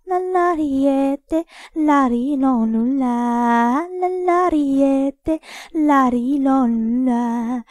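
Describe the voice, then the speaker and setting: A woman's voice: high-pitched, a little mellow, dry recording, clean studio sound. A woman amateur singer casually singing songs a cappella from memory, without any backing. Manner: casual, informal, unaccompanied singing.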